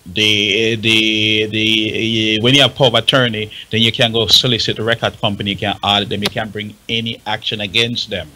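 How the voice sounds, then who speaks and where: A man with a fairly low but very bright, hard-edged voice argues on a discussion panel. He is insistent and emphatic, repeating words as he presses his point. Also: flat delivery, moderate pace, few pauses. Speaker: talk show panelist. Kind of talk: informal, argumentative panel discussion.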